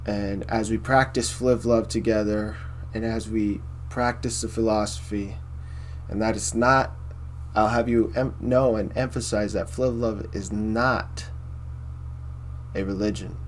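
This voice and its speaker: Rambling baritone man, low-voiced host. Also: fairly even intonation, unhurried, a little hiss or hum. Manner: rambling, repeats phrases, many fillers. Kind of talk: casual philosophy monologue to camera.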